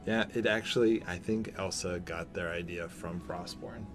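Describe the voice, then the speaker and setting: A man's voice: deep voice, steady tone, normal speed, over music. A man in his thirties hosting a gaming channel, chatting playfully while he plays. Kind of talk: casual gameplay commentary. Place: home recording room.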